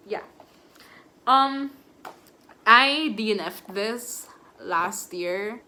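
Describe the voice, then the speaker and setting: A woman's voice: mid-range voice, bright, forceful tone. A woman in her twenties from the Philippines, chatting casually and self-deprecatingly as a book vlogger at home. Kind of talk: casual chat.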